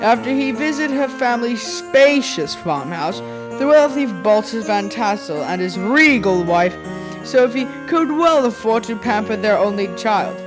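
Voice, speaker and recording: A woman's voice: fairly high pitch, expressive, measured pace, poor audio. A young female performer narrating an old village tale in a wry storytelling style for a school radio play. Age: young teenager. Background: instrumental music.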